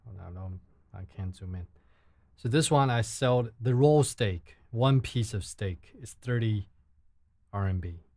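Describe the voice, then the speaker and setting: Low-voiced Chinese-accented man livestreaming. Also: a little mellow, no echo. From China. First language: Mandarin. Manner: halting, repeats words while searching for English. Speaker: livestream host. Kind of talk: casual livestream chat. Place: indoors at home, late at night.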